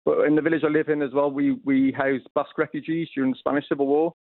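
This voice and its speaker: A man's voice: fairly high pitch, level tone, fast, dry recording. A Welsh man, an author guest on a radio phone-in, talking enthusiastically with many hesitations and repeated words. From Wales. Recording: tinny phone line.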